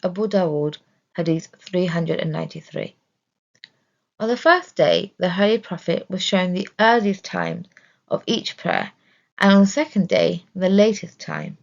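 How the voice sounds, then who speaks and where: Low, creaky woman reading scripted study. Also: measured pace. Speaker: reader of religious study text. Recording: dull, muffled lo-fi recording.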